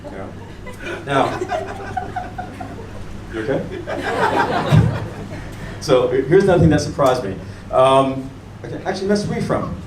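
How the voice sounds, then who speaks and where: An American man doing a stand-up comedy set on a club stage, animated and chatting with the audience. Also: medium pitch, a little slow, pauses often. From United States. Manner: animated, mimics locals and chats with the audience. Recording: echoey room taped from far off, crowd noise.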